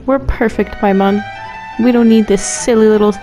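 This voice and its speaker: A woman's voice: mid-range voice, steady tone, normal speed, music in the background, low-quality recording. A woman streamer giving casual, sarcastic and exasperated reactions while playing a game. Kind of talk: casual reactive game commentary with read-aloud dialogue choices.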